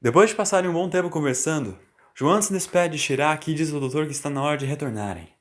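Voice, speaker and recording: A man's voice: a little high, fast. A man with a Brazilian accent reading a scripted, dramatic story as its narrator. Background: music.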